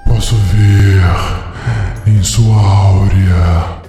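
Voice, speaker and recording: A vast echo carries this monstrous forest spirit, over faint music. He speaks with slow, ominous weight and cold disdain, like a horned one-eyed giant of a fantasy tale. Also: steady tone, dark tone. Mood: scornful. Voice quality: deep booming voice with ethereal echoes. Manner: slow, grave, ominous delivery. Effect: heavy echo and long reverb. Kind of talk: dramatized fantasy audio story. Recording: cavernous reverb with music underneath.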